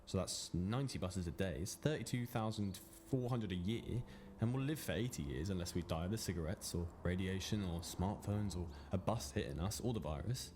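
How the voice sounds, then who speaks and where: A man's voice: deep voice, brisk, few pauses, noisy background, lightly acted. A man playing a needling, wistful young man in a naturalistic radio play, colloquial and dry. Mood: musing.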